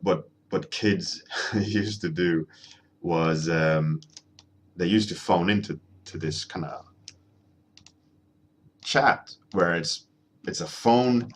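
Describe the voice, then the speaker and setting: A man in his thirties from Sweden with a deep voice, chatting casually and rambling as he streams himself drawing, answering his chat at a desk microphone. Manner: rambling, halting, many ums and restarts, chuckling, answering chat. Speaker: artist streaming a drawing session.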